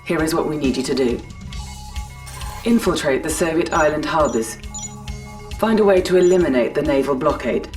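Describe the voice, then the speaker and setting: A woman's voice: sits low, moderate pace, pauses often, darkish tone, close to an everyday voice. A woman playing a cool, efficient battlefield announcer in a video game, formal and precise. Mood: formal briefing. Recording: slightly distant, clear music behind.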